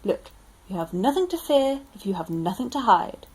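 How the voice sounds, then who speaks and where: A woman's voice: medium pitch, animated, moderate pace, darkish tone, husky, low-quality recording. A woman playing an officious, politely apologetic male police sergeant in an amateur radio drama.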